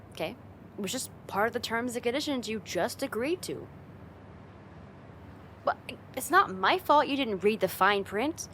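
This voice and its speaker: A woman's voice: mid-range voice, slight background noise. A young woman playing a friend's teasing, affectionate older sister in a casual, intimate ASMR roleplay. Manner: teasing, giggly, soft sighs, casual hesitant phrasing.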